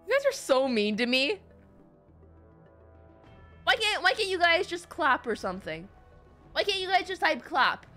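A woman's voice: very high-pitched, very animated, measured pace, long pauses, pushed and bright, faint music behind. A young woman doing casual live game commentary in a playful, slangy, dramatic way. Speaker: streamer.

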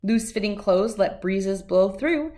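A middle-aged woman reads a children's picture book aloud at a medium pitch. Her delivery is measured and follows the rhyme with a sing-song lilt. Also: animated, warm tone.

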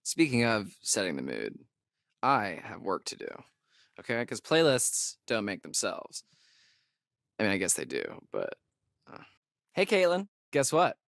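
Nervy, slangy young American camp counselor. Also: male voice, mid-range voice, creaky, a bit bright, no echo, studio quality, exaggerated voice. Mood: wry. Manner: casual slangy delivery, hesitant and stammering. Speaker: video game voice actor. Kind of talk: naturalistic video game acting.

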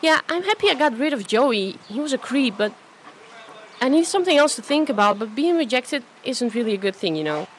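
A woman's voice: sits high, big pitch swings, brisk, slight background noise, rough recording. A woman playing a friendly, chatty, self-doubting young woman in an amateur radio play, with a hesitant, natural delivery. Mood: chatty, embarrassed.

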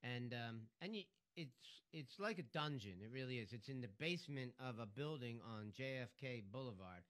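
A man's voice: mid-range voice, lively intonation, normal speed, fairly bright tone. A man with a Philadelphia accent, co-hosting a casual radio show about real estate and telling wry stories. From Philadelphia area, US. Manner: storytelling, wry, joking.

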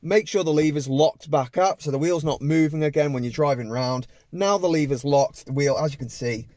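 A man's voice: on the high side, mellow, dry recording. A man in his thirties, a driving instructor, patiently explaining step by step from the driver's seat of a parked car. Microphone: camera on the dashboard.

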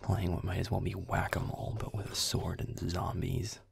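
A man playing a grumpy, sarcastic werewolf boyfriend in an audio roleplay, muttering irritably over a game in a hushed, gravelly voice. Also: sits low, level tone, moderate pace, exaggerated voice. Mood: sardonic, fed up. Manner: sardonic, irritable, swearing under breath.

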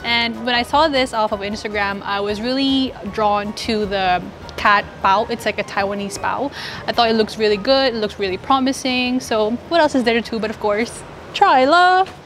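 A woman's voice: a little high, moderate pace, fairly bright tone, soft background music. A young woman hosting a casual food vlog, chatting upbeat while tasting dishes in a cafe on a lapel mic. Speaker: food vlogger host. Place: small cafe indoors and street outdoors.

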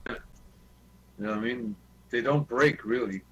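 A man with a mid-pitched voice chats casually over an online call with faint noise and hum. He talks like a radio hobbyist, repeating himself and swearing as he tells his stories. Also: a little slow, a bit bright, lo-fi sound. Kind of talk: casual chat. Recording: noisy online voice chat with hum.

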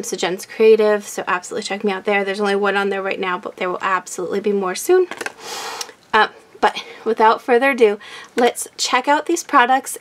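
A woman hosting a casual craft unboxing, chatty and excitable, her voice raspy from a recent illness. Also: mid-range voice, slight background noise. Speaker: craft channel host. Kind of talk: casual product unboxing chat.

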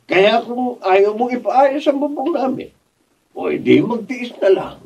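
A man's voice: very high-pitched, animated, a little slow, creaky, a little mellow, a little hiss or hum, heavily acted. An older man with a Filipino accent playing a shameless, tight-fisted father in a radio drama. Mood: wheedling, excusing. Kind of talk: Tagalog radio drama acting. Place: radio drama recording studio, distant camera. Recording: muffled, picked up from a distance.

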